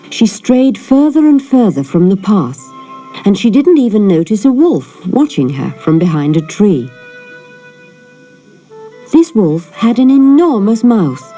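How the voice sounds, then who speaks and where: Animated, mellow woman reading a fairy tale. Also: on the low side. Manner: animated storytelling. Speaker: storybook reader. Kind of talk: expressive children's fairy tale narration.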